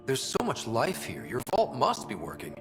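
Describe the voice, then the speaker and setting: An actor playing an easygoing, curious young human space explorer in a video game, informal and reassuring. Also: male voice, mid-range voice, huge pitch swings, normal speed. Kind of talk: video game dialogue acting. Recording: long reverb, music underneath.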